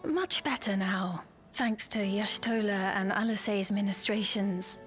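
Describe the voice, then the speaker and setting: An actress playing a gentle, formal young queen in a video game, speaking in measured, earnest, archaic phrases. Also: medium pitch, soft background music, lightly acted. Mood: grateful, composed. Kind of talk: scripted video game cutscene acting.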